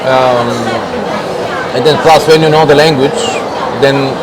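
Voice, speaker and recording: A man from Bulgaria with a Bulgarian accent, speaking English in a street interview and often pausing and restarting to find his words. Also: medium pitch, steady tone, poor audio. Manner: halting, searching for words with many restarts. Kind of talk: casual street interview answers. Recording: loud crowd and street noise.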